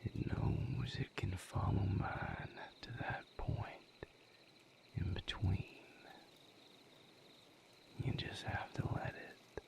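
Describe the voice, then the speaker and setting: A man playing a gentle, patient vampire mate in an intimate audio roleplay, coaxing softly in a breathy, gravelly whisper. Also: sits low, full character voice. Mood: soothing, focused. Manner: soothing, coaxing, unhurried guidance.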